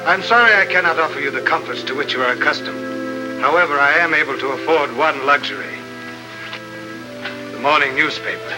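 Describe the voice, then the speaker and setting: An actor playing a coldly courteous, menacing villain chieftain in a 1950s radio adventure, speaking in formal, measured sentences. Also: male voice, on the high side, lightly acted, low-quality recording. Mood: polite menace. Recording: old 1950s radio recording, loud music.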